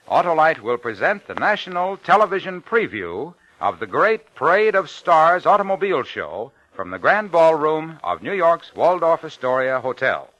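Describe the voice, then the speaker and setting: A man's voice: a little high, pushed and bright, no echo. A man with an American accent announcing for a sponsor in polished, emphatic 1950s radio commercial style. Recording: dull vintage radio recording, faint music.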